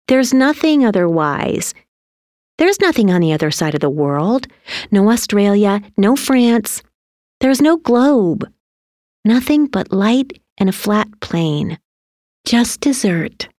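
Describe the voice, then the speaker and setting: A soft-spoken, soothing mother, acted by a female narrator in a storybook. Her voice is lifted and careful, apologetic and hesitant, recorded close and dry. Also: on the high side, very animated, slow pace, no echo, pitched above the performer's own voice. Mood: soft, explaining. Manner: soft, gentle, hesitant explaining.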